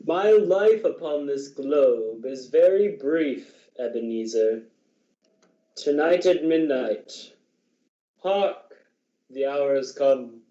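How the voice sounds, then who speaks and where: A man's voice: medium pitch, animated, mellow. Man voicing an echoey, solemn ghostly spirit. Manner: portentous, drawn-out. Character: solemn, booming ghostly spirit nearing its end. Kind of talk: radio drama acting. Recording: muffled, echoey, distant from mic.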